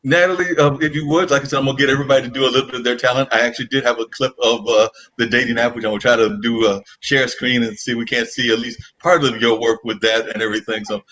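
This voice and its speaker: Bright-voiced rambling male host. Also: medium pitch, fairly even intonation, rapid-fire, few pauses, low-quality recording. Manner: rambling, with many filler phrases. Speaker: radio show host. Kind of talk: casual talk show chat. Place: at home.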